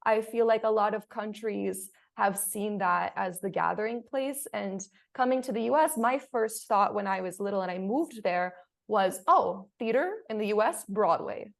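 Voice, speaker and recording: A woman's voice: medium pitch, moderate pace, creaky. Woman reflecting aloud in a panel chat. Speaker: panelist, theatre artist. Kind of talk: casual panel discussion.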